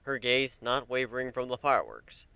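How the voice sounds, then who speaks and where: A man narrating a dramatic reading of fan fiction in an expressive, measured storytelling manner. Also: mid-range voice, gravelly, smooth, no echo.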